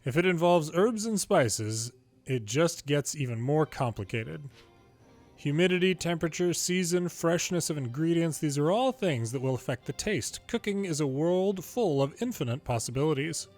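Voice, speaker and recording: A man reading story dialogue aloud from a video game in a playful way, switching pitch between characters and adding his own commentary. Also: expressive, moderate pace, a little mellow. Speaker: longplay host reading a game's dialogue aloud. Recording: music behind the voice. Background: game music.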